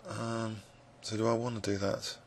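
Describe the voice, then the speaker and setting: A man with a croaky, gravelly voice, musing hesitantly with many fillers as he commentates his own blitz chess game. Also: fairly low pitch, flat delivery, normal speed, slight background noise. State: croaky voice, clearing throat. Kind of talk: live game commentary, thinking aloud.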